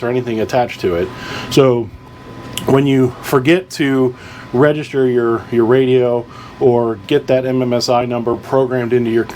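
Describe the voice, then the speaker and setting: A man presenting informal instructional boating tips as a charter captain, explaining in a halting way, recorded in the tower of a boat. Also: medium pitch, darkish tone, a little hiss or hum. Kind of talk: informal instructional video talk. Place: outdoors in the tower of a sportfishing boat.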